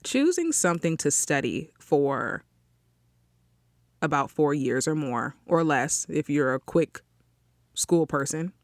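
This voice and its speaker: A woman's voice: sits low, very animated, slow, pauses often, dry recording, studio quality. A young woman hosting her own podcast, chatting on her own from a small closet and often checking in with listeners with an "okay?". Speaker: podcast host. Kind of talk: casual solo podcast monologue. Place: small closet, outdoor sounds leaking in.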